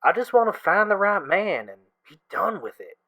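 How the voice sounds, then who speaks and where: A man's voice: high-pitched, sing-song, moderate pace, full character voice. Man acting a wry, exasperated young guy. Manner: casual, wry, gently complaining. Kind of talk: audio drama acting.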